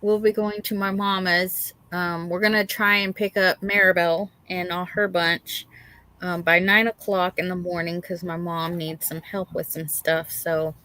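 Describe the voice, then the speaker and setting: A woman's voice: on the low side, flat delivery, measured pace, bright, forceful tone. A middle-aged woman co-hosting a livestream in casual, folksy chat, reading out and greeting viewers. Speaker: livestream co-host.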